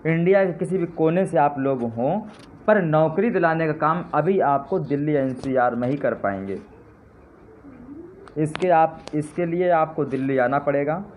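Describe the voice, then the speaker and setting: A man's voice: fairly high pitch, animated, a little slow. A man giving an impassioned live address to his supporters, with fervent, repetitive appeals that rise to indignant jabs. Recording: tinny phone-line sound with faint noise.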